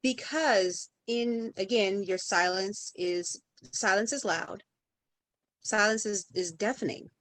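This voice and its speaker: A woman's voice: medium pitch, takes it slowly, a bit bright, dry recording. A woman speaking as a podcast guest in conversation, emphatic and building her points through repeated phrases.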